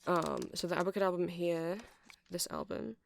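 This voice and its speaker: Nerdy vampire record-shop clerk played by a man, friendly and chatty, mid pitch with gravelly fry, ASMR roleplay with faint background noise. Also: fairly even intonation, fairly quick. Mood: absorbed, nerdy. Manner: hesitant, chatty, nerdy explaining. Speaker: shopkeeper character in ASMR roleplay. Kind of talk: soft ASMR roleplay, improvised rambling.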